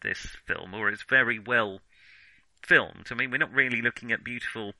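A man's voice: medium pitch, moderate pace, pushed and bright, no echo, poor audio. A man co-hosting a casual film discussion, hesitant and often correcting himself as he thinks aloud. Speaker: film discussion podcast co-host.

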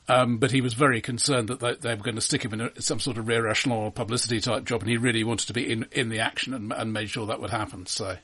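Male film-show co-host, somewhat rough-edged husky voice, average pitch, conversational, measured and opinionated. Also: steady tone, a bit bright. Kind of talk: casual film discussion.

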